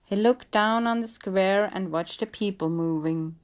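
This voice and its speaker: A woman reading a scripted legend as a storyteller, in a measured, dramatic storybook style. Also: mid-range voice.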